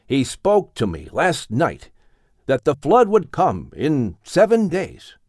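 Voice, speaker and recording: A male narrator plays a solemn old father from a Bible storybook. He speaks slowly and reverently, with very dramatic rises and falls, in a dry recording. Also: on the high side, huge pitch swings, darkish tone, no room sound, exaggerated voice. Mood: solemn awe. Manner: measured, weighty, reverent.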